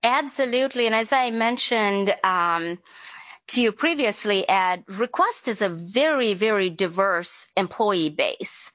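A middle-aged woman from Sri Lanka talks through her career in finance as an interview guest. Her answers are measured and businesslike, with the odd um as she thinks. The sound is dry and close, with no room around it. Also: mid-range voice, animated, fairly bright tone, no room sound. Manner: measured, businesslike, with frequent ums.

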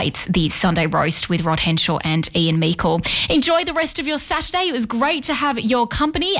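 A woman's voice: mid-range voice, fairly bright tone. A woman radio presenter chatting with a guest and closing her show in a friendly manner. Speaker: radio host.

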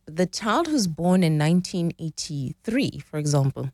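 A woman's voice: low-pitched, sing-song, moderate pace, darkish tone, no room sound, clean studio sound. A woman in her forties, explaining relationship dynamics as a guest in a conversational interview, often checking in with "right?". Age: 40s.

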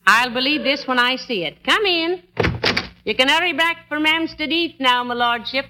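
An actress playing a patient, gently chiding wife in old-time radio comedy, with a wry, homely, affectionate manner. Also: female voice, sits high, normal speed. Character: patient, gently chiding wife who calls everyone dearie. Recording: vintage radio broadcast with faint noise.

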